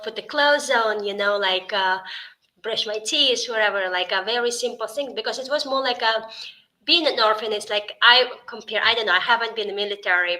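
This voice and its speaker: A woman speaks as a guest in a relaxed interview. Her voice is bright and forward, at an ordinary middle pitch. She talks in loose, halting English, stopping often to fill gaps with "like" and "you know.". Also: rough recording. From Russia. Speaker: podcast guest. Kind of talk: casual interview conversation.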